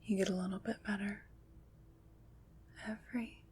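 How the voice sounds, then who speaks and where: A woman playing a kind, soothing witch in a gentle, breathy ASMR whisper, pausing between phrases to reassure. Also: medium pitch, level tone, lightly acted. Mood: gently encouraging. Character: kind, soothing witch comforting someone troubled by nightmares. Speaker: ASMR performer. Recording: clear background noise.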